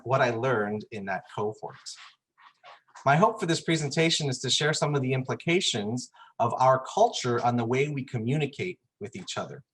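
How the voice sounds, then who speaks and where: A man from Chicago giving a prepared presentation at a church forum, earnest and measured with occasional fillers. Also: mid-range voice, rough recording. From Chicago, United States.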